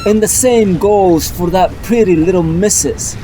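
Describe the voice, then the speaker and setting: A man's voice: high voice, unhurried, dark tone, slight background noise, voice pushed down, low-quality recording. A man voicing a scheming male supervillain who taunts and sneers. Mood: gloating.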